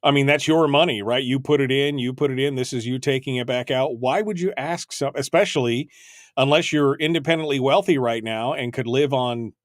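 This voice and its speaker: A man's voice: medium pitch, fairly quick, no room sound, clean studio sound. A man hosting a call-in radio show, talking casually and chattily, often repeating himself. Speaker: radio talk show host. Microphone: studio microphone.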